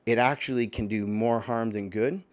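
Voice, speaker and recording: A man with a slightly hoarse voice, talking in a casual, rambling opinion monologue full of fillers, with emphatic bursts and swearing. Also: medium pitch, steady tone, moderate pace, a little mellow.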